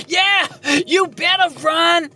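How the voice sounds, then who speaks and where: A woman's voice: very high-pitched, full character voice. A woman voicing a brash, defiant carnival youngster in an audio horror drama, full of slangy talk.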